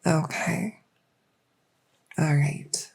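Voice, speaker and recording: A woman playing a gentle fae doctor in a soft-spoken ASMR medical roleplay, reassuring and unhurried, sometimes slipping toward a whisper. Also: sits low, steady tone, creaky, slightly rough, heavily acted. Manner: unhurried, reassuring, hesitant pauses. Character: gentle female fae doctor with buggy wings and scaly tail. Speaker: audio roleplay performer.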